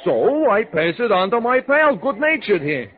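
A man's voice: high-pitched, big pitch swings, moderate pace, voice pushed up. An actor playing a tough male bouncer with streetwise gangster slang in a 1940s-style radio crime drama. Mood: evasive. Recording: tinny old radio recording.

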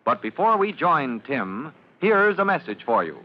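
Male vintage radio serial narrator, declamatory, slightly high voice, heavy noise behind. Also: big pitch swings, unhurried. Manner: dramatic, declamatory. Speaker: announcer and narrator. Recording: muffled, noisy old radio recording.

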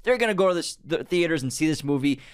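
A man co-hosting a podcast about movies, talking in a lively, animated way, often restarting his sentences and acting out what studio executives might say. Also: fairly high pitch, clear, resonant tone. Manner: animated, quick restarts and mock-quoting studio bosses. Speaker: podcast co-host. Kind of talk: casual chat.

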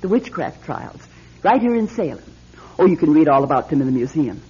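An actress playing an earnest, insistent older woman who appraises antiques in a classic radio drama, with a raspy voice. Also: sits low, very animated, brisk, mellow, a little hiss or hum. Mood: dismayed, insistent. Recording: dull, lo-fi old radio recording.

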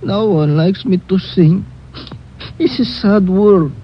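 An actor playing a drunken, self-pitying peasant field hand with a Spanish accent, slurring mournfully in an old radio play. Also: male voice, high-pitched, slow pace. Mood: tipsy, mournful. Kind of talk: old-time radio comic character acting. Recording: muffled old radio recording, faint noise.

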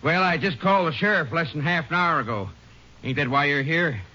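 Sharp-voiced rustic rancher. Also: male voice, a little high, lively intonation, a little fast. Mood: agitated. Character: excitable rustic rancher with folksy drawl. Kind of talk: 1950s radio western acting. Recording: muffled old radio recording with hiss.

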